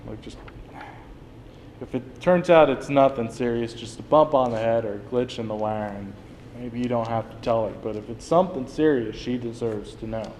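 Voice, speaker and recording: A man acting in a play reading as a steady, plain-spoken friend who coaxes and reassures, recorded in a noisy room. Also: medium pitch, moderate pace, long reverb tail, close to an everyday voice. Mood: reassuring. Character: Frank, steady, reassuring male friend, no longer young. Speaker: drama student actor. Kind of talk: dramatic reading of a stage play.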